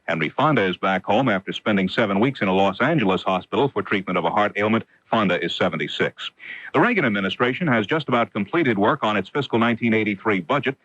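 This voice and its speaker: A man's voice: on the low side, expressive, fast, fairly bright tone, no echo, muffled recording. An American man reading a scripted newscast as a radio news anchor on an early 1980s broadcast.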